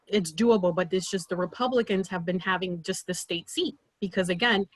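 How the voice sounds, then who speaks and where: A woman in her thirties, from Peru, making an earnest campaign pitch on a video call with many fillers and restarts. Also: no room sound, poor audio. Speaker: political candidate.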